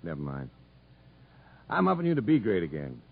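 A gruff but fair prison captain in an old radio play. He is deep-voiced, dry and commanding, with a very varied pitch, on a muffled 1950s broadcast. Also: wildly swooping pitch, normal speed, exaggerated voice. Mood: wry, fair. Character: gruff, fair-minded male prison captain, wryly authoritative. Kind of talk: 1950s radio drama acting.

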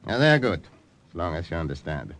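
An actor playing a stern, threatening German commandant with a German accent, in 1940s radio drama style. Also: male voice, low voice, very animated, fairly quick, fairly bright tone. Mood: menacing. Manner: clipped, menacing.

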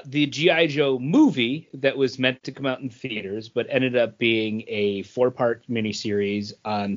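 A man's voice: on the low side, lo-fi sound. A man co-hosting a podcast, chatting casually and explaining trivia in a nerdy way. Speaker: podcast co-host. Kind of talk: casual chat, explaining comic and film trivia.

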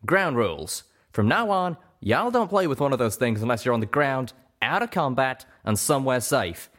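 A man's voice: medium pitch, wildly swooping pitch, moderate pace, a bit bright, voice pushed up. Man voicing a drawling, hot-tempered Southern pegasus cowpoke. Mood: firm. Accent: American Southern. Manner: drawling, folksy scolding. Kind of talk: audiobook character voice.

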